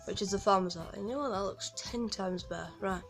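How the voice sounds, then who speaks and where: A woman's voice: mid-range voice, quick pace, creaky, soft background music. A woman with a Yorkshire accent gives a rambling, casual walkthrough of a game from home, full of ums and restarts. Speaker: gaming guide creator.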